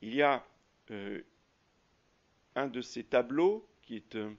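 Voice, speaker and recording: A man preaching a sermon as a priest, halting often with fillers and restarts. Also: mid-range voice, expressive, muffled recording. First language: French.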